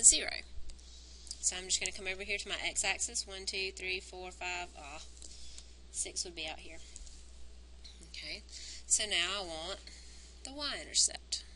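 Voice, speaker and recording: A woman teacher recording a math lesson on a wired mic, explaining each step and counting points aloud, her voice worn and going hoarse. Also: fairly low pitch, pushed and bright, slight background noise, low-quality recording. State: losing her voice. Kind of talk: recorded math lesson, working through graphs. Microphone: wired microphone on a cord.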